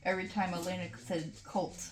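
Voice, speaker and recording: A woman's voice: lowish voice, level tone, fairly quick. Woman chiming in, echoey room. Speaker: player in a tabletop roleplaying game. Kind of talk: casual chat, chiming in on a recap. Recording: echoey room, background noise, clicks.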